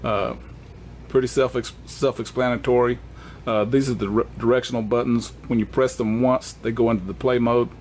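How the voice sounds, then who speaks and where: Man giving a halting tutorial, noisy recording. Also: medium pitch, steady tone, darkish tone. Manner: halting, plainspoken explanation with self-corrections. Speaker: presenter of an equipment walkthrough. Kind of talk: unscripted hands-on tutorial.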